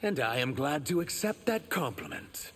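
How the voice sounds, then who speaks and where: A man voicing an earnest, wounded reptilian shapeshifter for a video game, measured and sincere with a gravelly edge. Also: a little high, big pitch swings, a little hiss or hum. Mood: wary, dry. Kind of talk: video game character acting.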